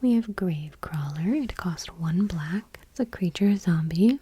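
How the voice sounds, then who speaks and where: A woman host speaking in a soft, breathy whisper, gently and without hurry, reading text aloud in ASMR style. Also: lowish voice, animated, normal speed.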